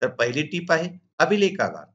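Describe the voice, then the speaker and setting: A man's voice: on the high side, huge pitch swings, normal speed, a bit bright. A man from Maharashtra speaking as a teacher, formally and methodically walking students through exam questions and answers. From Maharashtra, India. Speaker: school teacher and video channel host. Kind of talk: instructional exam walkthrough, reading questions and answers. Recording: dull lo-fi recording.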